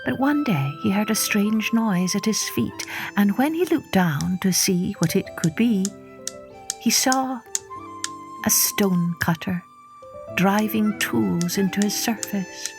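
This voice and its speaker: A woman hosting a storytelling podcast, narrating in a measured, expressive scripted storybook style. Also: medium pitch, over music.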